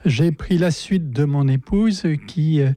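A man's voice: on the high side, measured pace, dark tone, no room sound. An older man from a prayer group answering questions in a hesitant, modest way.

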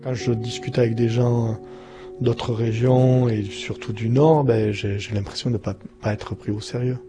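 Man, southern French accent, low monotone, hesitant, clear music underneath. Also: a little slow. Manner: musing, hesitant. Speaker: interviewee.

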